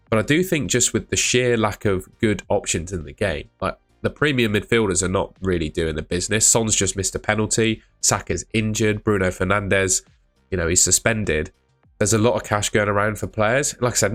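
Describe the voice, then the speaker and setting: A man, hosting a fantasy football channel, talking casually to camera, his voice croaky from shouting, chatty and thinking aloud with asides. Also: fairly low pitch.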